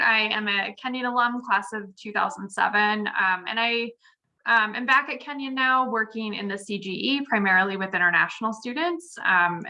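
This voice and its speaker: Bright-voiced woman in her thirties presenting. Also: medium pitch, rough recording. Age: 30s. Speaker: college staff presenter. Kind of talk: informal introduction and presentation. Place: video call.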